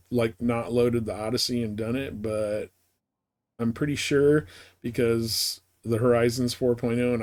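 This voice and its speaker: A man streaming a game live, chatting casually and thinking out loud in a rambling way. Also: mid-range voice. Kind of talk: casual gameplay chat.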